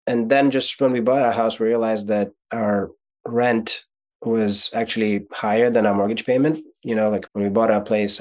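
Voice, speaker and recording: Lower-voiced man, thoughtful interview guest. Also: darkish tone. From Ukraine. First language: Russian. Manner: thoughtful, explanatory, with restarts. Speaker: guest, real estate investor and mentor. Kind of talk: podcast interview.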